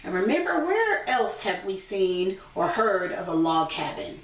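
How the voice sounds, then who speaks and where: A woman teacher reading a picture book aloud to young children, pausing to explain things simply in her own words. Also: medium pitch, very animated. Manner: instructive, repeats and explains points simply. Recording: echoey room, distant from mic.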